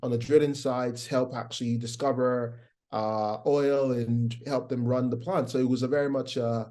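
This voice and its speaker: Man, Nigerian accent with American twang, middle-aged, relaxed rambling interview guest, medium pitch. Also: moderate pace. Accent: Nigerian with a faint American twang. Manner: relaxed, rambling with many fillers and restarts. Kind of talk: casual interview chat.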